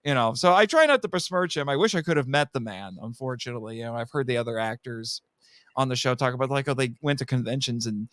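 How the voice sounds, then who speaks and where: A man's voice: mid-range voice, fast, fairly bright tone, dry recording. A man chatting casually as a podcast guest, telling things in a rambling, theatrical way.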